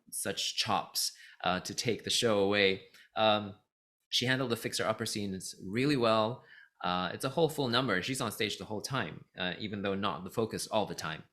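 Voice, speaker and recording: A man from Singapore giving a casual spoken review as a vlogger, thinking aloud in a halting, reflective way and stressing key words. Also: on the low side, fairly bright tone. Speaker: vlogger reviewing musicals, voice actor and teacher.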